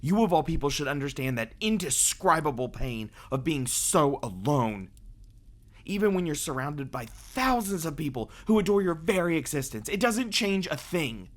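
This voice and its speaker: A man's voice: a little high, sing-song, normal speed. A man voicing a genteel, plaintive sentient star who rules over vampires, in an audio roleplay. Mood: anguished, confessing. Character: guilt-ridden, lofty sentient star, reluctant ruler of vampires. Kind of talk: ASMR audio roleplay acting.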